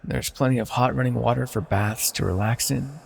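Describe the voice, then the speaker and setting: A man playing an immortal banished villain sorcerer in a fantasy audio roleplay, speaking softly and formally with condescending, possessive tenderness and a gravelly, husky voice. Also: mid-range voice, moderate pace. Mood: pleased, showing off. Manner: soft-spoken, condescending, theatrically formal. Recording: long hall-like reverb.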